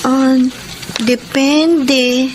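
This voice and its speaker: High-voiced young Filipina student. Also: female voice, fairly even intonation, drawn-out words, noisy background. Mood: wary. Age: young adult. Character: feisty young female student, guarded yet devoted sweetheart. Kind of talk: Filipino radio drama acting.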